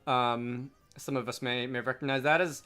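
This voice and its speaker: A man's voice: medium pitch, fairly even intonation, moderate pace, bright, forceful tone, smooth, soft background music. A man giving casual gameplay commentary with dry, wry asides. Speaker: let's player. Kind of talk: casual gameplay commentary with read-aloud dialogue.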